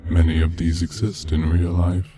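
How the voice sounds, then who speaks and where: A man reading a scripted narration in a measured, deliberate manner. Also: sits low, level tone, dark tone. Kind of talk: scripted narration of a video game mystery. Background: background music.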